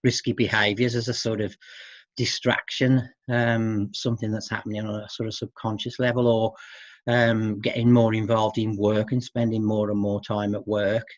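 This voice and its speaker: A middle-aged man speaking as an expert guest in an informal interview, hesitant and halting, often seeking agreement with tag questions. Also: on the low side, flat delivery, no echo. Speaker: expert guest who works with men. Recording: dull, muffled lo-fi audio.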